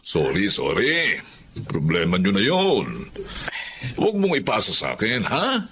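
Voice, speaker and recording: Smug, wildly swooping Filipino landlord. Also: male voice, lowish voice, wildly swooping pitch, measured pace, a bit bright, a little hiss or hum, exaggerated voice. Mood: dismissive, smug. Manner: wheedling, dismissive, taunting. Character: callous, smug middle-aged male landlord. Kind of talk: Tagalog radio drama acting.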